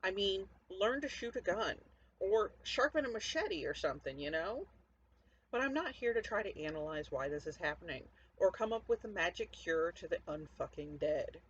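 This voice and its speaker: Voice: mid-range voice, animated, moderate pace, fairly bright tone, husky. A performer narrating a first-person story as a cynical, defiant, foul-mouthed zombie apocalypse survivor, sardonic and conversational, talking straight to the listener. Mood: scornful. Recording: dull lo-fi audio, faint background noise.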